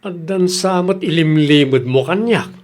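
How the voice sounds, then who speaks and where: A man's voice: on the high side, unhurried, darkish tone, close to an everyday voice. A man acting as a plain-spoken Ilocano father in a radio drama. He questions and grumbles like a concerned head of the household, ready to scold. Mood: grumbling.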